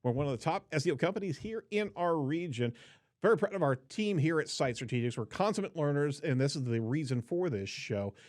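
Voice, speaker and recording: Man, podcast host, upbeat banter, fairly high voice, very lively pitch. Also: brisk. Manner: upbeat, laughs often, banters. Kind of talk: casual news podcast chat.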